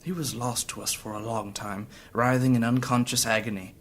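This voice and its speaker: A man performs a battle-worn male fantasy adventurer with a grave, guarded air. He speaks at a middle pitch in solemn, courtly, archaic phrases, like a storyteller in an audio drama who has seen terrible things. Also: fairly even intonation, normal speed, a bit bright, slight background noise, natural voice. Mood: grim, haunted.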